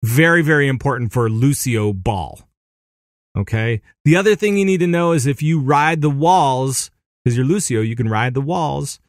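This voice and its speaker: A man hosting a gaming podcast, talking in an animated, excitable way and laughing easily. His voice sits in a middle register. It is recorded close and dry, with no room sound. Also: medium pitch, moderate pace, no room sound. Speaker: podcast host. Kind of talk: casual gaming chat.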